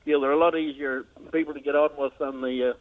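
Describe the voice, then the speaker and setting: An older man with a Northern Irish accent, a harness racing trainer, answering in a laconic, halting way with a dry sense of humour. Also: a little high, fairly even intonation. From Northern Ireland. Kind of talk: phone interview. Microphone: phone. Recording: tinny phone line.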